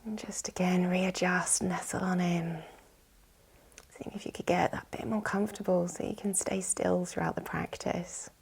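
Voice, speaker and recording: A woman with a soft, breathy, whispery voice, soothingly guiding a yoga nidra relaxation with gentle instructions. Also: on the low side, level tone, moderate pace. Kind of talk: guided relaxation meditation.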